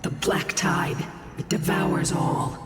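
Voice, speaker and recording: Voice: mid-range voice, slow pace, recorded from a distance. Solemn prophetic narrating voice. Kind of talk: solemn scripted game narration. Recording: echoey reverb with game music.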